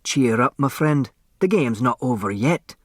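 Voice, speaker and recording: A man voicing a friendly, hoarse doorman who rallies the others in an audiobook. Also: mid-range voice, expressive, measured pace, dry recording, studio quality. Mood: encouraging. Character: friendly doorman with a battered face, rallying others.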